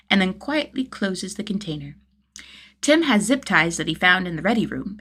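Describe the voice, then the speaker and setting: A woman narrates fiction in a fairly low voice. Her reading is expressive and scripted, with intonation that moves widely through each line. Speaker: podfic narrator.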